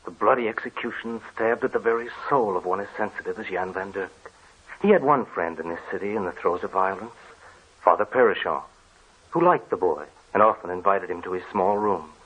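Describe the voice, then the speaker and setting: An actor playing a grave man who recounts his family's legend in measured, solemn 1940s radio drama narration. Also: fairly low pitch, a bit bright, breathy edge. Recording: dull old radio recording with faint hiss.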